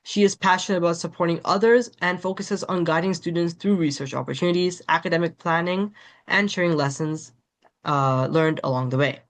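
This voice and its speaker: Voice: medium pitch, brisk. A webinar host reading a formal prepared introduction over a video call. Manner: reads prepared remarks, formal. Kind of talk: scripted introduction.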